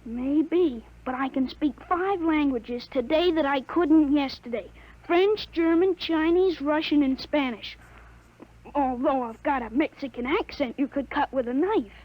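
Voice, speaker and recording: A self-assured thirteen-year-old boy, radio drama, matter-of-fact, high-pitched, dull old recording. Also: unhurried. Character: earnest 13-year-old boy with grown man's mind. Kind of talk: 1950s radio drama acting.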